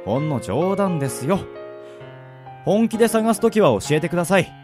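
An easygoing sword master in middle age, acted by a man, with a highly varied, lively intonation. He can be courteous or gruffly casual, in radio drama style with music behind him. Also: normal speed. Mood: composed, courteous. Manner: switches between smooth polite formality and rough casual drawl. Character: easygoing middle-aged male swordsman mentor, courteous in public, gruff in private.

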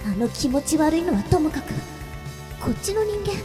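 A woman's voice: sits high, lively intonation, moderate pace, over music. A woman playing an imperious little noble demon girl in a radio drama, pompous, condescending and easily offended. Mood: smug, puzzled. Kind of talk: Japanese anime-style radio drama acting.

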